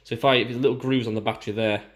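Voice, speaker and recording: A man's voice: medium pitch, steady tone, rapid-fire. Male, British accent, casual unboxing presenter, informal and explanatory. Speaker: product reviewer.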